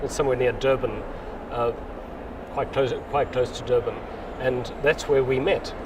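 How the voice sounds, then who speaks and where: A man's voice: medium pitch, fairly even intonation. A man in his sixties answering interview questions casually, rambling with frequent restarts. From South Africa. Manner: rambling, restarts often, unhurried. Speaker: interviewee, mycologist. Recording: distant mic, loud outdoor noise.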